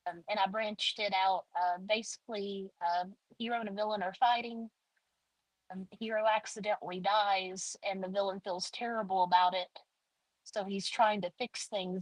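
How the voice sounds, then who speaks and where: A woman in her forties talks about her books in a relaxed interview, pausing often on fillers and starting sentences over. Her voice sits at a middling pitch and sounds close and dry, with no room around it. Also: dry recording, rough recording. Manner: hesitant, many fillers and restarts. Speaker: author guest. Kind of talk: casual interview talk.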